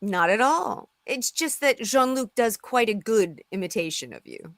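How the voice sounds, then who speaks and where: A woman's voice: fairly high pitch, animated, moderate pace, a bit bright, dry recording, studio quality. A woman playing a confident, flirtatious female archaeologist in a live script table read. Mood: sly, confident.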